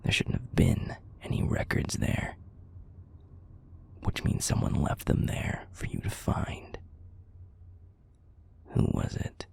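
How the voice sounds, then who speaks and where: A man playing a bitter, menacing werewolf pack leader in an audio roleplay, profane and commanding in a breathy growl. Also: takes it slowly, pauses often, darkish tone, whispered, close to an everyday voice. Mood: weary, cold contempt. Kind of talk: audio roleplay drama acting.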